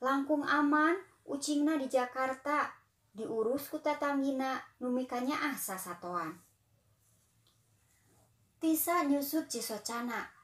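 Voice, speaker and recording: A young woman with a Sundanese accent, reading a children's story in a gentle, unhurried way. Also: sits high, reverberant. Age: young adult.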